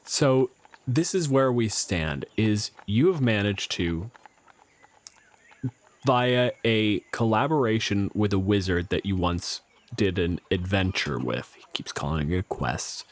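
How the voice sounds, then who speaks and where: A man's voice: on the low side, expressive, slow. Casual, wry man running a tabletop game. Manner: conversational, wry, profane. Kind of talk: improvised casual game narration.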